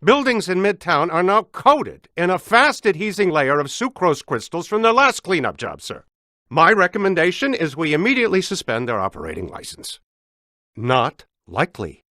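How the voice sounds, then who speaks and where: A man's voice: high-pitched, sing-song, sharp tone, no room sound, clean studio sound, full character voice. An actor playing an officious, indignant male city bureaucrat in a video game, pompous and precise in his delivery. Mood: smug, stern. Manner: pompous, clipped, deliberate enunciation.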